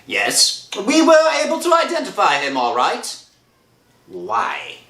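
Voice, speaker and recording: Woman as skeptical night-duty officer, wry, casual, bright voice, old radio acting, echoey room. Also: lowish voice, wildly swooping pitch, takes it slowly, full character voice. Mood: dismissive. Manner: offhand, hesitant, wry. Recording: echoey room, faint music behind.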